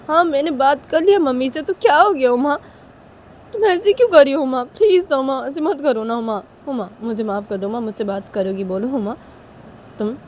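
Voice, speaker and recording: A woman voicing a hot-tempered young son who shouts threats at his wife. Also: high voice, slight background noise, pitched above the performer's own voice, natural voice. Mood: pleading.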